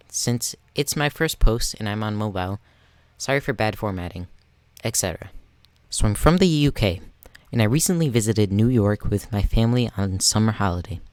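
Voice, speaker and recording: A man's voice: on the low side, dry recording. A thirteen-year-old boy reading an online story aloud as the narrator of a video.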